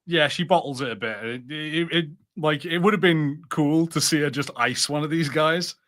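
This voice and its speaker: A man with a slightly high voice talks as a podcast co-host. He chats casually through a review, musing in a conversational, slightly hesitant way. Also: normal speed, a bit bright.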